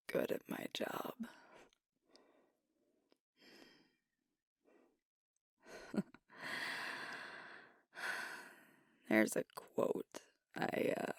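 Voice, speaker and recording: Girl playing a young female werewolf pack leader, asmr, super slow n hesitant, gravelly breathy half whisper, confiding. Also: extremely low pitch, no echo, studio quality, exaggerated voice. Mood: steadying, reassuring herself. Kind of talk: intimate ASMR roleplay monologue. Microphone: close mic, dry.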